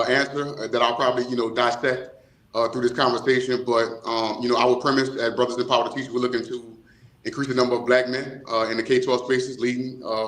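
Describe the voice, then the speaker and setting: A man giving reflective interview answers, choosing formal words in measured, hesitant phrases. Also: medium pitch, fairly bright tone. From New Orleans, USA. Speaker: nonprofit co-founder, interview guest. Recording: roomy, echoing voice.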